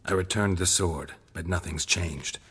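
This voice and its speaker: An actor playing a grizzled monster hunter who talks in terse, clipped, matter-of-fact sentences. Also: male voice, low voice, a little slow, a bit bright, breathy edge, heavily acted. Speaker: game protagonist.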